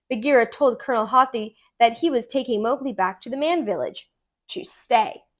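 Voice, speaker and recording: A woman narrating a children's storybook aloud in an expressive, deliberate storytelling manner. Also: sits high. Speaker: tutor reading aloud.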